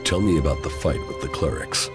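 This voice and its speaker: An actor playing a terse, gruff ex-commander in a video game, cold and self-assured, with a gravelly voice. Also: male voice, extremely low pitch, lively intonation, fairly quick. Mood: guarded, terse. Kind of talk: video game dialogue acting. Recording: music under the voice.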